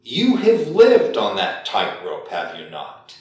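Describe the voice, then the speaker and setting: An older man interviewing formally, like a professor, with an erudite, deliberate, rhetorical way of putting questions. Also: on the low side, wildly swooping pitch. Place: indoor meeting room at a long table. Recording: very echoey room, distant mic.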